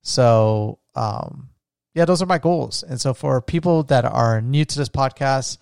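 A man's voice: medium pitch, measured pace, vocal fry, darkish tone, smooth, no echo, clean studio sound. Man talking casually on a solo podcast. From United States. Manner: rambling, thinking aloud with many restarts. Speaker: podcast host.

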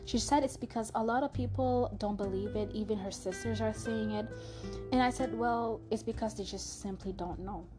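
A woman's voice: medium pitch, moderate pace, over music. A young woman from Montreal with a French accent, chatting through a personal story on her podcast with frequent fillers and restarts. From Canada (Montreal). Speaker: podcast host.

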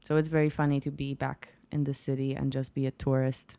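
A woman's voice: rumbling low, flat delivery, a little fast, gravelly, mellow, clear, resonant tone. A young woman from Poland with a Polish accent, chatting casually as a guest in a home kitchen and telling stories with many restarts. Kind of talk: casual chat. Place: home kitchen, music heard outside.

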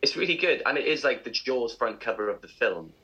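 Bright male co-host, young, rambling and self-mocking; casual breakfast chat. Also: mid-range voice, animated, fairly quick, lo-fi sound.

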